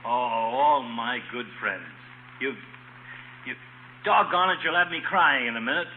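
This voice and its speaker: A man playing a grumpy but soft-hearted older small-town doctor, sputtering his lines in a 1940s radio comedy. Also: medium pitch, a little slow, lightly acted. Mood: touched, flustered. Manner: grouchy, sputtering, sentimental. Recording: very noisy muffled vintage radio recording.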